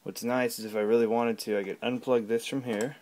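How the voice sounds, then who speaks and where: A man giving a casual, unscripted gadget demonstration at home, talking in a halting, rambling way. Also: medium pitch, little pitch movement, a little mellow. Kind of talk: casual unscripted product demo. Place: small room at home. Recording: clear background noise with clicks.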